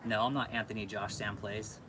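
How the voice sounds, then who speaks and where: American guy on a livestream, lowish voice, says dude and man a lot, swears, some noise behind him. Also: little pitch movement, a little fast. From California, United States. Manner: laid-back slangy bro-talk, repeats phrases, swears freely. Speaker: podcast and livestream host. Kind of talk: casual livestream chat with viewers.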